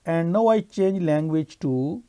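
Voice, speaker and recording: Mellow-voiced man narrating a software tutorial. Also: a little high, a little slow. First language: Urdu. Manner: steady step-by-step explaining. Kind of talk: screen-recorded tutorial narration.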